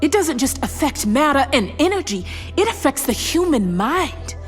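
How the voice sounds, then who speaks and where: A woman playing a sharp-tongued, defiant small-town scientist with a folksy, commanding manner in an audio drama. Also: sits high, big pitch swings, normal speed, soft background music. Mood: grave explaining.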